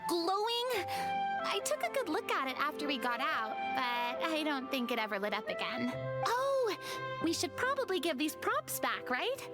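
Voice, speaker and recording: A woman's voice: high voice, big pitch swings, moderate pace. A woman voicing a dramatic, playful young noblewoman in a game. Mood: chatty. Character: theatrical, flamboyant young noblewoman. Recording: game audio with music underneath.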